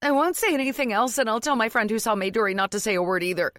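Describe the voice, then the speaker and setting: A woman's voice: mid-range voice, steady tone, brisk, fairly bright tone, no room sound, clean studio sound, voice pushed up, close to an everyday voice. A woman voicing a wounded, tearful young wife who pleads and defends herself in an audiobook story. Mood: contrite, panicky. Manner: anguished, defensive, pouring out words.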